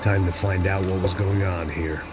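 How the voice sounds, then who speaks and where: An actor playing a bitter, middle-aged ex-cop in a video game, talking in a gruff, weary way. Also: male voice, low-pitched, fairly even intonation, normal speed, over loud music, close to an everyday voice. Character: weary hard-drinking ex-cop bodyguard, middle-aged man. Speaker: game protagonist.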